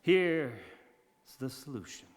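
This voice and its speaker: A man's voice: fairly high pitch, expressive, slow pace. A man playing a grandiloquent, wry 1930s radio host in theatrical monologue, eloquent and self-mocking. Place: community theatre radio play staged live. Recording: reverberant hall.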